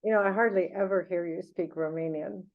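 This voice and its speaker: A woman's voice: on the low side, steady tone, fairly quick, vocal fry. A woman chatting casually as a podcast co-host, musing in a reflective way. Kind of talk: casual unscripted conversation. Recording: thin phone-like audio.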